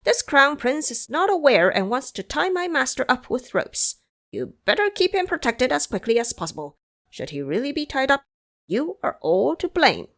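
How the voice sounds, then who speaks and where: A woman's voice: sits high, sing-song, moderate pace, pitched above the performer's own voice. A woman playing a bossy, brash monkey sage in an audio drama, giving commands in an urgent manner.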